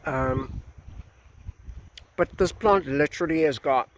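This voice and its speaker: A man in his thirties with a South African accent and a slightly hoarse voice, hosting an informal gardening vlog outdoors on a phone. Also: on the high side, slow pace, low-quality recording. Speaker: gardening video host, nursery gardener. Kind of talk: informal vlog talk to camera. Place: outdoors in a breezy garden nursery. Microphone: phone at arm's length. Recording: outdoor phone recording with faint background noise.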